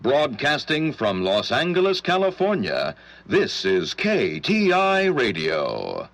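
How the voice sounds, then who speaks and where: An actor playing a formal American radio station announcer in the style of the 1940s. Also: male voice, fairly high pitch, huge pitch swings, takes it slowly, creaky, a bit bright, no echo, heavily acted. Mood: formal, showy.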